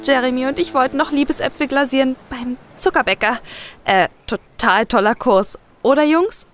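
Actress as naive young woman, high girlish voice, earnest, detective audio drama. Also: moderate pace, a bit bright, faint music behind. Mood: hesitant, polite.

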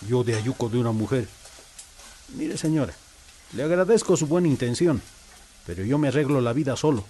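A man playing an irritable, defensive, stubborn father in a radio soap, with a gruff, brusque Bolivian manner of speech. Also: mid-range voice, lively intonation, fairly quick, mellow, slight background noise, close to an everyday voice. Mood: defensive, irritated.

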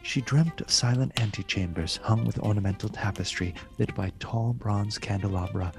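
A man acting as the solemn narrator of a gothic radio play, measured and formal. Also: on the low side, natural voice. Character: solemn storyteller of a gothic tale. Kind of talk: dramatic literary narration. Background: music.

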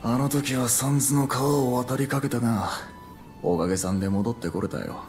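A man playing a steady, frank young samurai in a Japanese video game, speaking plainly and in a measured way. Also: medium pitch, faint music behind, lightly acted. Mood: grateful. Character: grateful, steady young male samurai activist.

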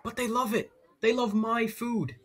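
An actor playing an earnest, polite young aspiring chef in a children's audio drama. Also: male voice, extremely high pitch, fairly even intonation, measured pace, a bit bright, breathy edge, full character voice. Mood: earnest, anxious. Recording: faint crowd behind the voice.

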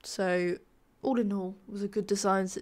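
A woman's voice: medium pitch, steady tone, moderate pace, darkish tone. Nasal, chatty woman with a cold. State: ill with a sore throat, slightly flat voice. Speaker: gaming video creator, narrator. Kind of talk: casual speed-build commentary, chatty voice-over.

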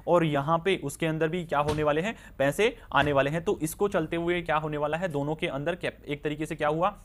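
Higher-pitched man explaining market news. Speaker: stock market news presenter. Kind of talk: informal explanatory news commentary. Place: voice-over on slides with handwritten notes.

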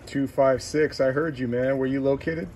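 Distant man calling on CB over loud noise. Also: mid-range voice, steady tone. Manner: repeats numbers and phrases deliberately. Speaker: CB radio operator. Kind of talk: radio calling, short repeated call signs. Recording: noisy radio shack, distant mic, clicks.

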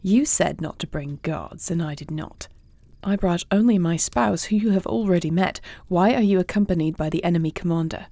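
A woman playing a composed, wary warrior princess in a fantasy audio drama, speaking in a formal, measured way. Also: fairly low pitch, warm tone, dry recording. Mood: stern. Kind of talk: fantasy audio roleplay acting.

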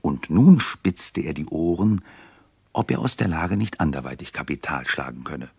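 A man acting as the wry, knowing narrator of a 1960s German radio play, in a measured storytelling style. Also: extremely low pitch, expressive, vocal fry, darkish tone, breathy edge, no echo, exaggerated voice.

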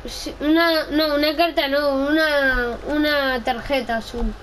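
A woman's voice: high voice, fairly even intonation, a little slow, fairly bright tone. A woman chatting casually while gaming, insistent and often repeating her requests. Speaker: gamer. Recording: faint background noise.

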